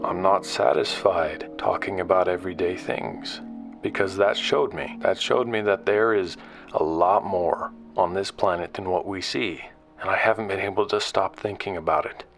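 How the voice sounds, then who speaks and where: A man playing a restless, frustrated explorer in an audio drama, speaking earnestly in halting, repeated phrases. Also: sits low. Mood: restless, brooding. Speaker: narrator and lead character. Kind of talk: audio drama acting, conversational monologue and dialogue. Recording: music behind the voice.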